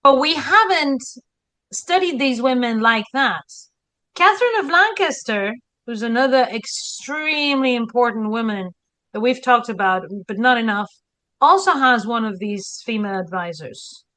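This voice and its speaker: This is a woman with a Spanish accent, chatting on a history podcast in a bright, fairly high voice. She tells her stories with energy, throwing in rhetorical questions and little acted quotes. The whole thing feels like easy conversation with a friend. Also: moderate pace. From Spain. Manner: animated storyteller, rhetorical questions, acts out quotes. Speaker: historian co-host. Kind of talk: casual podcast chat.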